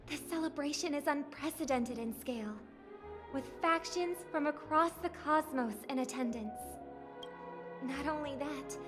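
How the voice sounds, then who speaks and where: Woman voicing a young idol host, high voice, formal and measured, game dialogue, music underneath. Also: lightly acted. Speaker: festival host. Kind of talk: video game voice acting. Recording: game audio with clear music behind.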